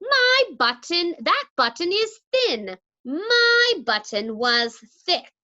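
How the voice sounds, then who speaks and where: An exasperated male toad from a children's storybook, played by a woman, complaining emphatically in a high, bright voice. He is grumpy and wails each grievance with big swings in pitch. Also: big pitch swings, takes it slowly, lo-fi sound, exaggerated voice. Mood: furious, wailing. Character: grumpy, easily upset male toad, wailing over lost button.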